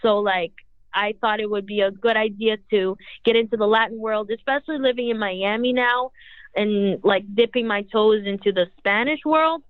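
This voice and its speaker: A woman's voice: mid-range voice, moderate pace, dry recording. A young woman, a singer whose first language is Portuguese, answering interview questions in a rambling way with constant "like" fillers. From Brazil. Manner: rambling, heavy use of "like". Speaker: singer, guest. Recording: tinny phone-like audio.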